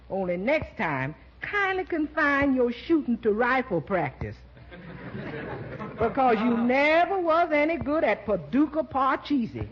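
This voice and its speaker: An actress playing a sassy, good-humored, outspoken household woman with folksy comic delivery in old-time radio comedy. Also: on the high side. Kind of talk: 1940s radio comedy acting. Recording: muffled 1940s radio recording, faint noise.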